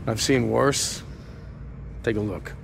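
An actor playing a weary, plainspoken middle-aged American police captain in a video game, with a gravelly voice. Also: male voice, lowish voice, takes it slowly, music in the background. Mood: approving, weary. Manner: plainspoken, understated. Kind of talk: video game cutscene acting.

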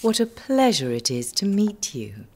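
A woman's voice: mid-range voice, sing-song, a little slow. A woman playing a gracious, imperious noble duchess in an audio drama, with a genteel, coaxing manner.

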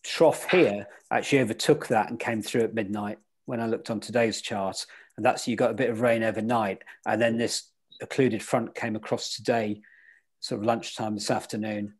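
A man's voice: on the low side, fairly even intonation, normal speed, breathy edge. A man teaching sailing weather in an online club lecture over a video call, quizzing his listeners as he goes. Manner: interactive, quizzes the audience.

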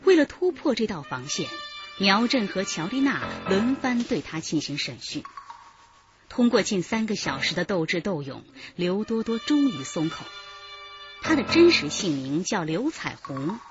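Lively female radio drama narrator. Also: mid-range voice, normal speed, lo-fi sound. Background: music.

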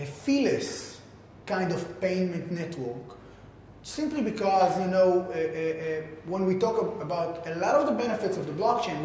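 Echoey, higher-pitched man presenting haltingly. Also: darkish tone. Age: 30s. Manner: halting, filler-heavy delivery with repeated words. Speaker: tech company founder presenting a product. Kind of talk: informal keynote explanation to camera. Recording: very echoey, reverberant room with faint rumble.

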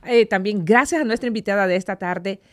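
Female radio interviewer, middle-aged, average pitch, conversational, reacts in short interjections, studio mic. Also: fairly quick. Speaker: radio host. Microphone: close desk microphone.

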